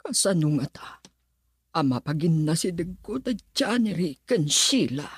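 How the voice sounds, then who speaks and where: An actress playing a composed, motherly middle-aged aunt with a husky voice in an Ilocano radio drama. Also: lowish voice, sing-song, a little slow.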